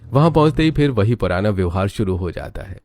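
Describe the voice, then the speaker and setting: A man's voice: fairly low pitch, lively intonation, fairly quick, a little mellow, no room sound. A man giving scripted storybook narration for a family cartoon.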